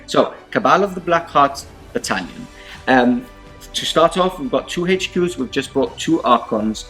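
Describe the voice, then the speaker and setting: A man's voice: mid-range voice, lively intonation, unhurried, fairly bright tone, soft background music, rough recording. A man whose first language is Spanish, hosting a tabletop wargame show with casual, unscripted rundowns. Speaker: host of a tabletop wargame battle report show.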